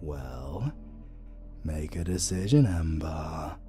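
A man's voice: very deep voice, animated, warm tone, soft background music, exaggerated voice. A British man playing a flirtatious, sardonic supervillain in a romantic comedy audio roleplay, drawling and teasing theatrically. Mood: sly, bargaining. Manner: slow, drawling, teasing, dramatic.

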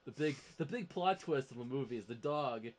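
A man's voice: mid-range voice, animated, a little fast, a little hiss or hum. A man chatting casually as a panelist on a podcast. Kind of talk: casual chat.

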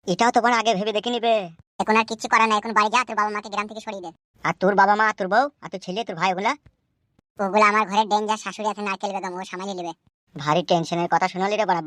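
A man's voice: sits high, sharp tone, no room sound. A man voicing an anxious, foul-mouthed young village troublemaker in a comedy cartoon, bluntly arguing in a rural West Bengal accent. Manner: blunt, argumentative, rambling.

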